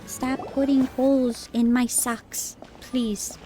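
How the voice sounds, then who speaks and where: A woman streams a video game in a higher-pitched voice at an unhurried pace. Her talk is casual, rambling and giggly, with loose swearing. Game music plays clearly behind her. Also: flat delivery, mellow. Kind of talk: casual gameplay stream chat.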